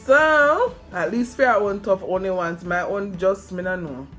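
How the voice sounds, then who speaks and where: A young woman with a Jamaican accent chatting casually in Patois as a teasing, animated cooking co-host. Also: mid-range voice, measured pace, reverberant. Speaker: cooking vlog co-host, the daughter. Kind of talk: casual kitchen chat. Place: home kitchen. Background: music.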